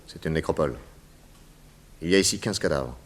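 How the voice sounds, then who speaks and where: An actor playing a stern, commanding detective hero in a 1960s French radio drama, speaking curtly and with authority. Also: male voice, very deep voice, lively intonation, fairly quick. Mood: stern, accusing. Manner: curt, authoritative. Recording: old radio recording with background noise.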